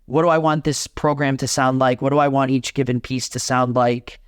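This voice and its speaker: A young adult man from Miami, Florida, a guest organist chatting in an interview, animated and full of restarts. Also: medium pitch, moderate pace, smooth, no room sound, clean studio sound. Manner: animated, talks with many restarts. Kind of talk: casual interview conversation.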